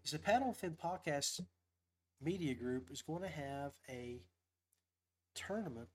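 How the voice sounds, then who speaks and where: A man with a slightly high voice chats as the host of a fishing livestream. His delivery is folksy and upbeat, wandering from one thought to the next as he goes. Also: animated, unhurried, darkish tone, slightly rough. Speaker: podcast host. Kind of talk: casual livestream fishing chat.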